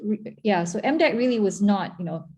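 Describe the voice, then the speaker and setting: A woman's voice: medium pitch, lively intonation, moderate pace, warm tone. A middle-aged Malaysian woman answering interview questions in a chatty, talkative way, with frequent restarts and fillers. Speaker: guest, former tech agency chief.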